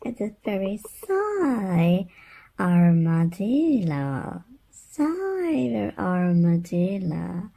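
Woman, children's read-aloud host, very slow delivery, creaky edge, sing-song, addressing little ones. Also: mid-range voice, big pitch swings, warm tone. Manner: sing-song, repeating words playfully, addressing small children. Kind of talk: picture-book read-aloud for babies and toddlers.

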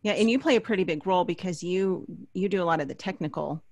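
Low-voiced middle-aged woman hosting. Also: quick pace, a little mellow, no echo. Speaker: podcast host. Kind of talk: conversational interview introduction. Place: small indoor home studio. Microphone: large studio microphone up close.

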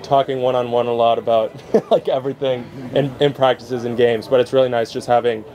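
A young man in his twenties answers at a postgame press table. His answers are hesitant and halting. His pitch is moderate. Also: fairly even intonation, warm tone, slight background noise. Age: 20s. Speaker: senior athlete. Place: outdoor tent at a poolside press table.

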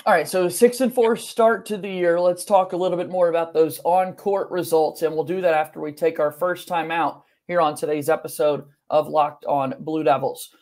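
A man's voice: a little high, steady tone, normal speed, hardly any pauses, darkish tone. A man in his thirties hosting a sports podcast, setting up questions and segments. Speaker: podcast host. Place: home room indoors, video call.

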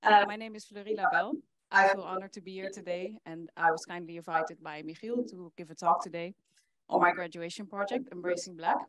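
An earnest young woman from the Netherlands presents her project at a conference, with a fairly low voice. She pauses often with "um" and "uh". An audience is clearly heard in the hall around her. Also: a crowd in the background. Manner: earnest, with frequent fillers. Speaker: conference speaker. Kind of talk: presentation talk.

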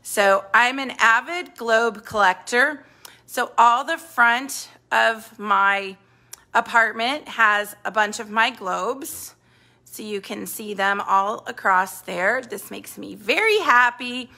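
A woman's voice: fairly high pitch, takes it slowly, bright, forceful tone. A middle-aged woman who owns a vintage shop, chatting enthusiastically through a casual home tour of her apartment while filming on a phone. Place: indoor apartment living room. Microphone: handheld phone filming the room.

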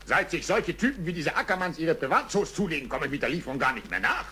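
An actor playing a harried, wheedling wild animal dealer, eager and flustered, in a cartoon dub. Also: male voice, fairly high pitch, big pitch swings, fast talker, sharp tone, husky, full character voice. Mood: businesslike, pressed. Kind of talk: German-dubbed cartoon dialogue.

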